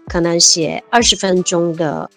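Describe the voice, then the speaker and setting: Woman in her 50s, lively chatty guest, lots of fillers, soft music under. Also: on the low side, measured pace. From Taiwan. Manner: animated, laughs easily, many fillers. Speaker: guest, civil servant. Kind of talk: casual interview chat.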